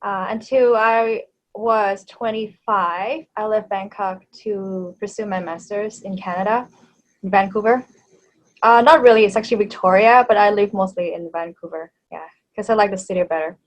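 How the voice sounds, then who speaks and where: A woman in her thirties from Thailand, a native Thai speaker, talking as an interview guest in a hesitant way, with many fillers and soft laughs. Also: medium pitch, steady tone, moderate pace, low-quality recording. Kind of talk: casual interview chat.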